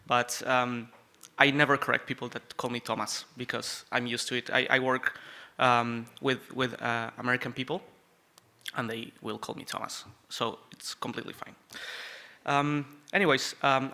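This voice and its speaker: A young Uruguayan man speaks English with a Spanish accent, his voice very bright and forward. He talks to a conference audience in a loose, joking way, pausing on "um" and "uh" and restarting his sentences. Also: mid-range voice. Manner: chatty, joking, many fillers and restarts. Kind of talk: conference talk.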